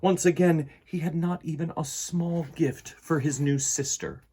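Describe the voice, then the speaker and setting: A man in his forties with a slightly husky voice, reading and presenting a children's picture book in an expressive, dramatic way. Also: fairly high pitch. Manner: animated, dramatic, with deliberate pauses and emphasis. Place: small indoor room in front of bookshelves.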